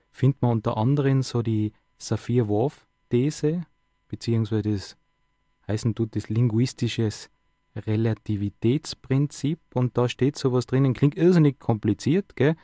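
A man hosting a radio talk show with a mellow, dark tone. He leads the chat with easy questions and tag words. The sound is close and dry, without room echo. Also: mid-range voice. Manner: conversational, asks many questions, tag words. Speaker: radio host. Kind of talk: radio interview.

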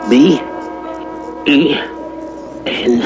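A man's voice: medium pitch, drawn-out words, music in the background. A man narrating a 1950s radio drama in a dramatic scripted storytelling style.

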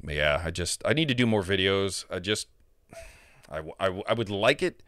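A man in his thirties with a deep voice, ranting casually and profanely into a handheld mic held close, full of opinions. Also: lively intonation, normal speed, a bit bright, no echo. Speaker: gamer vlogger. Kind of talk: casual, ranting monologue to camera. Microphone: handheld microphone held close to the mouth.

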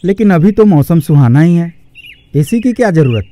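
A man's voice: on the high side, big pitch swings, moderate pace, mellow. A man voicing an earnest, hardworking young employee in a cartoon story, polite but firm and plain-spoken. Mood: patient, annoyed. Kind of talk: Hindi cartoon story acting.

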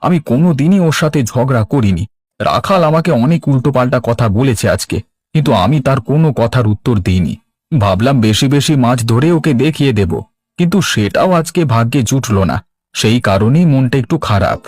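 A man's voice: mid-range voice, expressive, fairly quick, a little mellow, dry recording, lightly acted. A man voicing a dejected but patient village fisherman in a cartoon, with a plaintive, complaining manner. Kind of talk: Bengali cartoon voice acting.

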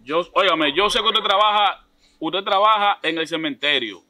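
A man's voice: high-pitched, moderate pace, bright, forceful tone, a little hiss or hum. A man in his thirties with a Dominican accent, making an insistent, repetitive, confrontational prank phone call. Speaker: prank caller. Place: outdoors by a block wall.

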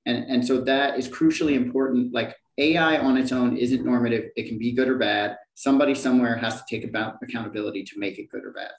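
A man speaking on a panel over a video call with a headset, quick and emphatic, often restarting his sentences. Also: mid-range voice, fairly even intonation, reverberant, far from the mic, low-quality recording. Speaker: panelist, principal scientist. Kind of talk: panel discussion.

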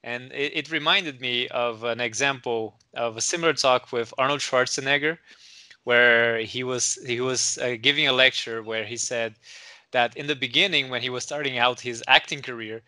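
A man's voice: mid-range voice, fairly even intonation, moderate pace, pushed and bright, dry recording. A man in his thirties with a Brazilian accent, hosting over a video call on a headset, talking hesitantly with many restarts. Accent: Brazilian Portuguese. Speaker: host. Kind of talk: casual interview chat.

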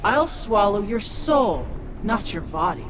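A woman's voice: medium pitch, very animated, slow, background noise. A woman voicing a cruel, haughty ancient demon sorceress in a video game, gloating and threatening. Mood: gloating threat. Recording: music behind the voice.

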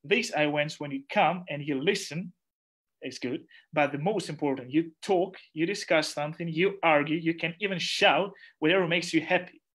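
A man's voice: on the high side, normal speed, fairly bright tone, breathy edge. A young man whose first language is Russian, opening an online society event on a video call, gesturing and searching for words. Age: young adult. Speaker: society organizer introducing speakers. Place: small room at home. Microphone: headset on a video call.

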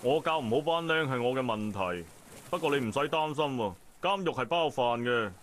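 A man's voice: medium pitch, lively intonation, a little fast, a bit bright, lightly acted. A confident male detective, acted by a man for a visual novel, with a mid-range voice. He speaks Hong Kong Cantonese with smug, taunting authority over faint ambient noise. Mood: smug, assured. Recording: game voice track with faint noise.

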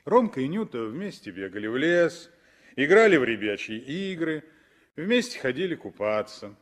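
A man's voice: mid-range voice, big pitch swings, moderate pace. A man narrating a story in the scripted style of 1960s Soviet radio drama.

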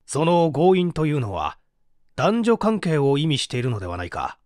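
A man reading dramatically as a formal prosecutor, questioning a suspect in a measured, pressing way. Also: fairly high pitch, big pitch swings, a little mellow, dry recording, clean studio sound. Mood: probing, stern.

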